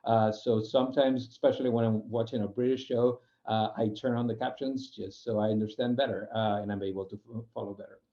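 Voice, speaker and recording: A man's voice: lowish voice, steady tone, brisk, few pauses, warm tone, lo-fi sound. A man from Spain, a non-native English speaker with a Spanish accent, gives an informal explanatory presentation, often restarting phrases and searching for words. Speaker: UX program manager giving a guest talk.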